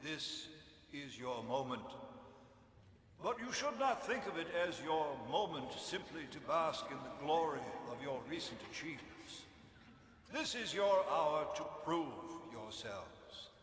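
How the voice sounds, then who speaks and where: An actor playing a stern, commanding Imperial officer, declaiming a formal military speech to cadets in an audio drama. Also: male voice, fairly high pitch, a bit bright. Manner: declamatory, authoritative. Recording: echoing hall reverb, faint background noise.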